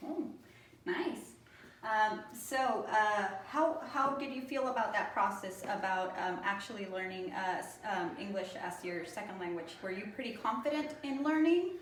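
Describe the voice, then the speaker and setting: A woman interviewer asks questions with halting phrasing and frequent fillers. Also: medium pitch. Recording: echoey room, far from the mic, faint noise.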